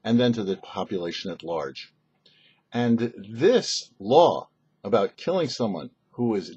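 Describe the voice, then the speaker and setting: A man with a rough-edged, husky voice delivers a short religious teaching. His pitch sits in a middle range but swings widely as he explains in a didactic way. The recording is somewhat muffled and lo-fi. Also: darkish tone. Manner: didactic, rhetorical questions. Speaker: rabbi, show host. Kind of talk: short scripted Torah teaching.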